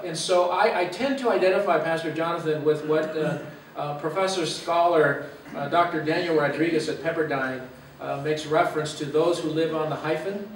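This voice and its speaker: A man in his fifties from Los Angeles, giving an earnest, reflective talk as a guest speaker with frequent "uh" pauses. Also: fairly high pitch, a little hiss or hum. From Los Angeles, USA. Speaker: guest speaker, university president. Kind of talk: personal talk to a church gathering. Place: meeting room or church hall. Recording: echoey room, mic far away.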